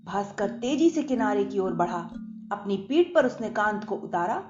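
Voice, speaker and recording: A woman narrating a scripted story for children in an expressive, dramatised way. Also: mid-range voice, normal speed. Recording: echoey dull recording under loud music.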